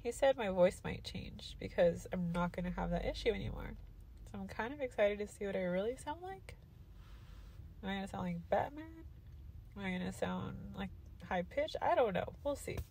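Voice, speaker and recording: A woman's voice: medium pitch, expressive, normal speed, vocal fry, long pauses, a little mellow, slight background noise. A young woman talking into her phone in a parked car, nasal and congested after sinus surgery, loopy and drowsy from medication. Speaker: vlogger. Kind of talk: casual recovery vlog. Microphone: phone at arm's length.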